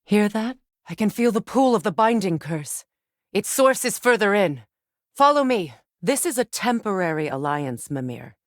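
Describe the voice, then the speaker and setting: A woman playing a guarded, battle-hardened warrior goddess and sorceress in a video game, measured, dry and pointed in her delivery. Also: mid-range voice, sing-song, dry recording, lightly acted. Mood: guarded, cool. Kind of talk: dramatic video game character acting.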